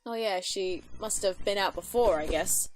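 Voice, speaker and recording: A woman giving casual live reaction commentary, with expressive, sympathetic asides. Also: medium pitch, normal speed, slight background noise, low-quality recording.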